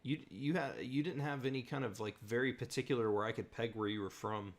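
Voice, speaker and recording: A man's voice: mid-range voice, a little fast. A man from the southern United States hosting a casual livestream Q&A, chatty and rambling, laughing often. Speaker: host.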